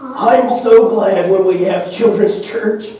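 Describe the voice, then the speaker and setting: A man's voice: sits high, slow, mellow. A man voicing an eager, giggly, easily distracted boy puppet in a children's church show. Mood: earnest. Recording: echoey, noisy room, distant mic.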